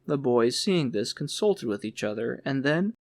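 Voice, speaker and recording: A man's voice: mid-range voice, sing-song, normal speed, no echo, studio quality. A man reading literary fiction aloud as an audiobook narrator.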